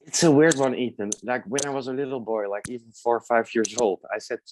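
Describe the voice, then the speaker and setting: A man's voice: medium pitch, fairly quick, noisy background. A man from the Netherlands, a podcast guest answering in a friendly, hesitant way with many fillers. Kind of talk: casual interview answers.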